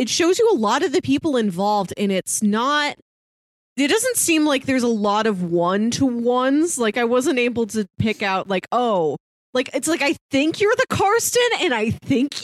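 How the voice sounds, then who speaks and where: A woman's voice: high voice, very animated, moderate pace, no room sound, clean studio sound. A woman co-hosting a podcast, casually discussing a TV series in conversation. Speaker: podcast co-host.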